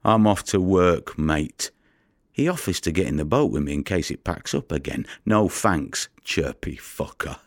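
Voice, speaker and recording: An actor playing a world-weary, wary fisherman in an audio drama monologue, with a gravelly voice and a wry, colloquial way of telling it. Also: sits low, lively intonation, unhurried, dry recording, clean studio sound, exaggerated voice. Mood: amused, guarded.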